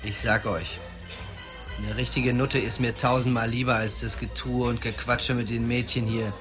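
A man's voice: medium pitch, flat delivery, moderate pace, music in the background, lightly acted. An actor playing a troubled, sullen young man with a crude manner in a radio drama. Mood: hateful.

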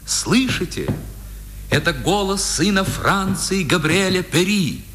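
A man's voice: high voice, lively intonation, slow pace. A man playing a solemn narrator honouring fallen heroes, declaiming in a 1950s Soviet radio drama. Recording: noisy old radio recording, hall reverb.

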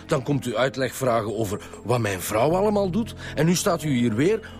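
A man's voice: medium pitch, lively intonation, moderate pace, darkish tone, soft background music, lightly acted. A man with a Flemish accent playing a defensive, self-righteous husband under police questioning in a radio drama. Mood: irritated, fed up. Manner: self-righteous, weary, curt. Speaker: actor in a radio detective serial.

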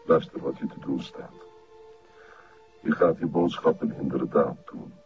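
An actor playing a cold, domineering cult master with a Flemish accent, in a terse crime-drama delivery. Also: male voice, a bass voice, fairly even intonation, a little slow. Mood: controlled, instructing. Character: sinister, commanding cult master controlling an addicted follower. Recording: dull lo-fi TV audio with music.

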